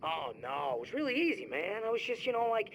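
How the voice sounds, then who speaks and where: Smug laid-back producer, lots of slang, bouncy high voice, faint hiss. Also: male voice, big pitch swings, brisk, a bit bright, full character voice. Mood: boastful. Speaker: radio show guest.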